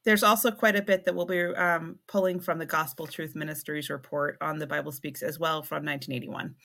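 A woman co-hosting a podcast in casual chat, measured and earnest, often adding clarifications. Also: low-pitched, flat delivery, gravelly, fairly bright tone. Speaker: podcast co-host.

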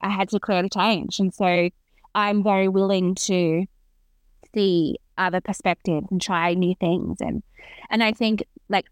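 Woman, thirties, earnest and reflective, often restarts, dry close sound, mid pitch. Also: steady tone, measured pace, clear, resonant tone, no room sound. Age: 30s. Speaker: guest. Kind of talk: casual interview conversation.

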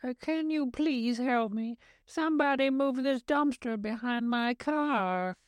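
Guy doing a frail old lady voice, way high, slow and weak. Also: smooth, dry recording. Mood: pleading. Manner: slow, effortful pleading.

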